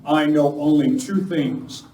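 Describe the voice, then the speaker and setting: Man acting a masked western hero, mellow and echoey. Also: fairly high pitch, steady tone, slow pace. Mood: steady, grave. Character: masked lawman hero, steady, commanding, earnest. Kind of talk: live Western radio play acting. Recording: echoey room from far off, noisy.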